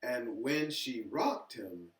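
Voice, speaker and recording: A man reading a children's story aloud expressively and deliberately, pausing between short phrases. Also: medium pitch, big pitch swings. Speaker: storybook reader. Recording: echoey room, distant from mic.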